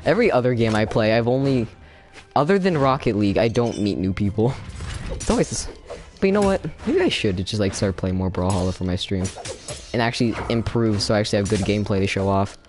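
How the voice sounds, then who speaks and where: A young man chatting casually while he streams a fighting game, excitable, with sudden yelps and laughs. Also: lowish voice, fairly quick, slight background noise. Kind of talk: casual gameplay commentary. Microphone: no camera, desk mic.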